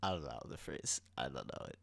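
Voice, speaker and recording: A man's voice: rumbling low, animated, fairly quick, vocal fry, a bit bright, dry recording, whispered. A man co-hosting a podcast in informal, playful banter, speaking close to the microphone. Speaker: podcast co-host.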